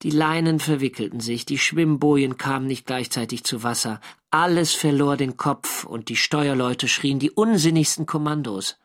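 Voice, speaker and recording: An actor playing a wry First World War sailor narrating his war diary in measured, clearly articulated German radio drama style. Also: medium pitch, fairly bright tone, lightly acted. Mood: dry recounting.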